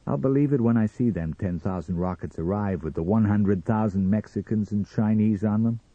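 A man voicing a sceptical, distrustful wife in an audiobook story, with a slow, plain-spoken manner. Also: lowish voice, mellow, no echo, muffled recording, close to an everyday voice. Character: sceptical, distrustful, dry wife of stand owner. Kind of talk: dramatised audiobook character reading.

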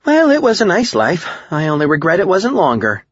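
A man's voice: a little high, wildly swooping pitch, fairly quick, slightly rough, no echo, muffled recording, pitched above the performer's own voice, exaggerated voice. A man voicing a flippant, wisecracking young woman in an audiobook, with theatrical colloquial delivery. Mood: dramatic. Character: sassy, wisecracking young woman, dramatic sidekick friend.

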